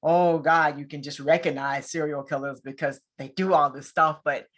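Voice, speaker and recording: A woman with a slightly low voice hosts an interview. Her delivery is conversational and informal, like a relaxed chat with a guest. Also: normal speed. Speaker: interview host.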